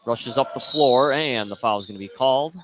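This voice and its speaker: An American man calling a high school basketball game live as a radio play-by-play announcer. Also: brisk, fairly bright tone. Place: courtside in a basketball gym. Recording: clearly noisy gym with bouncing ball.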